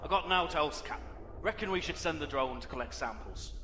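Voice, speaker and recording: British starship tactical officer, sci-fi drama. Blunt, wry, colloquial, fairly high pitch, very echoey. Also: male voice, lively intonation, a little fast, bright, forceful tone, a little hiss or hum. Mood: focused. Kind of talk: science fiction audio drama acting. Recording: echoey hall reverb with music.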